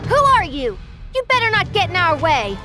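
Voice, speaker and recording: A woman's voice: extremely high pitch, big pitch swings, moderate pace, music in the background. A woman voicing a brash, protective young girl in an anime game, blunt and exasperated. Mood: urgent.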